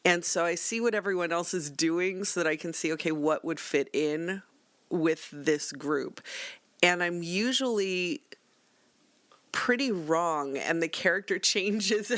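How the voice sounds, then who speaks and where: A woman's voice: on the low side, a little slow, fairly bright tone, no echo. A woman chatting casually as a game player, self-deprecating and acting out her own thoughts as she talks. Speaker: player on a tabletop game podcast. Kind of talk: casual chat.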